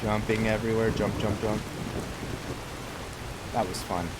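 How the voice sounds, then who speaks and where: Slow-talking low-voiced man over stormy game audio. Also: little pitch movement, very noisy. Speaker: gaming channel host. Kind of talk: casual let's play commentary. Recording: loud rain and thunder game audio behind. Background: game rain and thunderstorm ambience.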